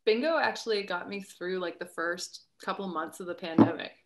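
A young Canadian woman who is a musician and a guest on a podcast, chatting in a lively, upbeat way over a video call and using lots of "like". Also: on the low side, moderate pace, a little hiss or hum, low-quality recording. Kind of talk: casual chat. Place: home room, video call. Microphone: laptop camera mic, video call.

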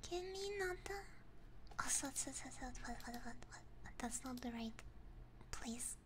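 A woman livestream host chatting in a soft, breathy ASMR whisper, gentle and playful, close to a binaural mic. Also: high voice, lively intonation, moderate pace, background noise. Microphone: close binaural ASMR microphone.

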